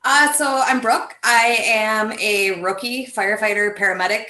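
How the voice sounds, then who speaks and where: Woman from wisconsin, bright sharp voice, echoey room, chatty and open. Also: a little high, steady tone, measured pace. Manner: open, chatty, hesitant fillers. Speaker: firefighter paramedic guest. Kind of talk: casual self-introduction.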